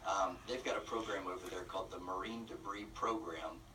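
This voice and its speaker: A man explaining things conversationally as a radio interview guest, often pausing mid-phrase. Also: sits low, steady tone, sharp tone, far from the mic, slight background noise. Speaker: interview guest, nonprofit representative.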